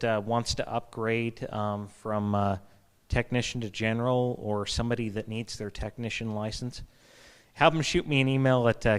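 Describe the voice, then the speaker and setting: A man's voice: fairly low pitch, smooth. A man who teaches radio license classes makes a hesitant, casual announcement full of ums and uhs. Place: meeting room.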